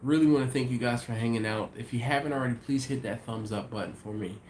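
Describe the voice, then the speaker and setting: Congested man hosting a live chat, easygoing, mid pitch, slight background noise. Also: fast, darkish tone. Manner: easygoing, thinks aloud with many ums and restarts. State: congested, sniffly. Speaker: livestream host. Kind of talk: casual live chat reading viewer comments.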